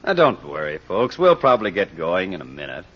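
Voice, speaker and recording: Husky, wry radio sleuth. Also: male voice, deep voice, a little fast, exaggerated voice. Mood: reassuring. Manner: calm, explanatory, playful. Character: wry, unflappable male amateur sleuth who dotes on cats. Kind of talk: 1940s radio acting. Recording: muffled vintage radio recording.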